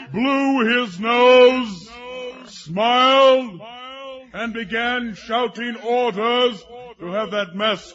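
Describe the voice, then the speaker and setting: A man narrating a mock-epic fantasy tale in a grandiose, theatrical way, swelling and falling in force. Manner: grandiose, theatrical, swelling to shouts and dropping low. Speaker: storyteller. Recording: dull sound with crowd noise behind.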